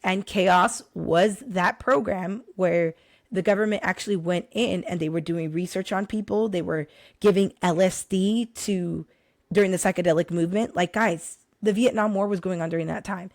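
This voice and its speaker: Young woman, hoarse, casual vlog talk, animated, addresses viewers directly, dry close sound. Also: fairly low pitch, normal speed, no room sound. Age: young adult. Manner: animated, addresses viewers as guys, many restarts. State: hoarse, recovering from illness. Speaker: vlogger recommending books.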